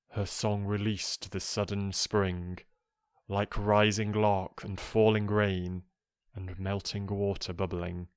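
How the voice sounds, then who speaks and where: A man reading poetry for relaxation in a calm, measured, soothing manner. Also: deep voice, smooth, no room sound, clean studio sound. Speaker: poetry reader.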